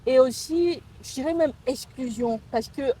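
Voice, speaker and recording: Higher-voiced young Cameroonian woman interviewer. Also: a little slow, warm tone, rough recording. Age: 20s. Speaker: interviewer and host. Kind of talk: conversational interview. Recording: some background ambient noise.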